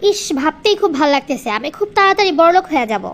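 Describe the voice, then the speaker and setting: Actress as very high-pitched, coquettish scheming mistress. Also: female voice, lively intonation, rapid-fire, slight background noise. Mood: gleeful.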